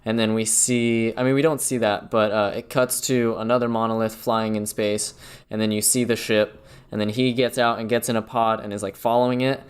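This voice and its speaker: A man's voice: lowish voice, brisk, smooth. A man co-hosting a podcast, eagerly retelling a film scene by scene in casual chat. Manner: animated retelling, many "like" fillers. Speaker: podcast co-host.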